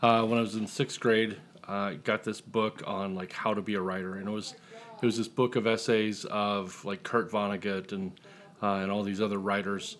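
A man's voice: lowish voice, little pitch movement, normal speed, a bit bright. A middle-aged man with an American accent, talking casually as a guest columnist in an interview. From Indiana, United States.

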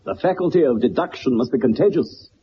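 An actor playing a cerebral, wry detective with an English accent, urbane and theatrical, in the style of 1960s radio drama. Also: male voice, medium pitch, expressive, brisk, breathy edge. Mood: amused, teasing. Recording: muffled, noisy 1960s radio recording.

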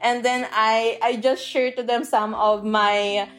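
A woman from the Philippines with a Filipino accent, whose first language is Tagalog. She is a language teacher who explains things earnestly in a casual interview, pausing often with "uh". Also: fairly high pitch, little pitch movement, fairly bright tone, soft background music. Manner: earnest, explanatory, frequent "uh" pauses.

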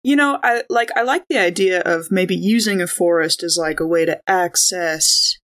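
A woman chatting casually and describing her character's moves as a player in a tabletop game, quick to banter. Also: fairly low pitch, a bit bright. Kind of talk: casual chat and in-game narration.

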